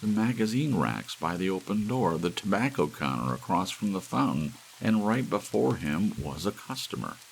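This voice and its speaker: A man reading an audiobook story in a dramatic, hushed storytelling style, as a narrator. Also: low voice, moderate pace, gravelly, few pauses, poor audio. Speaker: audiobook reader. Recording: steady hiss of soft rain. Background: soft rain.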